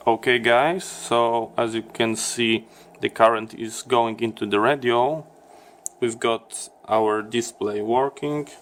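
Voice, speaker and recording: A man who speaks English as a second language, giving a halting, step-by-step explanation in a hands-on repair demonstration. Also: medium pitch, slight background noise. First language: not English. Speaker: electronics repair presenter.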